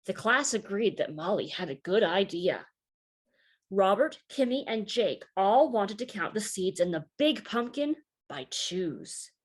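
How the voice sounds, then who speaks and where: A woman reading a children's picture book aloud in an expressive, deliberate storytelling manner. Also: medium pitch, slightly rough. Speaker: storybook reader.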